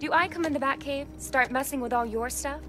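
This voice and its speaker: A woman playing a capable young tech expert in a video game, brisk and analytical. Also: high voice, over music, lightly acted. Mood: focused.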